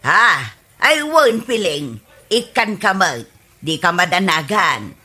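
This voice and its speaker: An easygoing older Ilocano neighbour woman played by an actress in a comic radio drama. She sounds generous, speaking slowly in a lowish, sharp bright voice. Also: sing-song, husky, full character voice.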